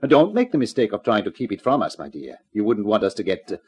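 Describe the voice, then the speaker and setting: An actor playing a smooth, menacingly polite gangster with formal, precise phrasing in a 1950s radio crime drama. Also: male voice, on the low side, sing-song, quick pace. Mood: cool, threatening. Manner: formal, courteous, precise phrasing with veiled threats.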